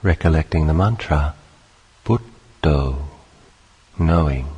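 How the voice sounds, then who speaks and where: A man leading a guided meditation, speaking calmly in short, unhurried phrases with long pauses between them. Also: very deep voice, husky. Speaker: meditation teacher.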